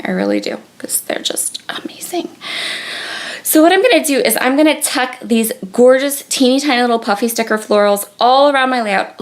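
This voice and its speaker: Sniffly woman chatting about crafts. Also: fairly high pitch. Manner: chatty, frequent ums and restarts. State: sniffly with a cold. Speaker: scrapbooking video creator. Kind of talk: casual crafting voice-over. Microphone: tablet on a gooseneck.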